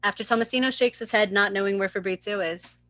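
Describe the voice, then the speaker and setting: A woman's voice: medium pitch, fast, a bit bright, dry recording. Woman reading script directions, dull dry audio. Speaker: stage directions reader. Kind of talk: scripted narration at a live table read. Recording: dull, slightly muffled close audio.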